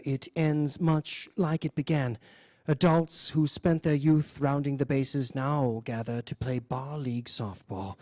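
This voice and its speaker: A man hosting a radio show, reading mock nature-documentary narration in a deadpan, solemn way. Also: medium pitch, no room sound. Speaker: host of a live variety radio show.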